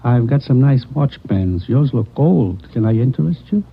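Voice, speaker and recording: An actor playing a deferential, salesmanlike loan shop clerk with a gravelly, raspy voice in a 1940s radio drama. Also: male voice, medium pitch, expressive, moderate pace. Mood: ingratiating. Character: apologetic, eager-to-sell male loan shop clerk. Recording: dull vintage 1940s radio recording.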